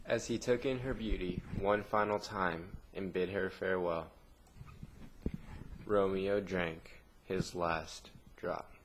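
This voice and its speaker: Low-voiced man narrating a story. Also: fairly even intonation, slow pace, slight background noise, rough recording.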